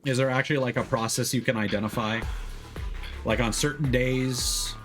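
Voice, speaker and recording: A man's voice: mid-range voice, steady tone, moderate pace, a bit bright. A man giving casual live commentary while playing a video game, musing aloud in a conversational way. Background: music behind the voice.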